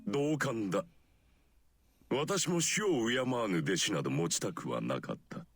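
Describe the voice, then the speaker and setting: An actor playing a menacing, composed middle-aged priest in a visual novel, speaking solemnly and formally with ominous courtesy. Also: male voice, lowish voice, very animated, a little fast, husky, no room sound, exaggerated voice. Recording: loud game music behind the voice.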